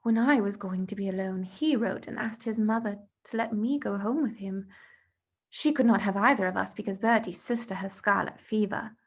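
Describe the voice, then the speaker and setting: A woman's voice: medium pitch, creaky, breathy edge. A woman voicing a kindly, loyal young schoolboy in a storybook, earnest and a little hesitant.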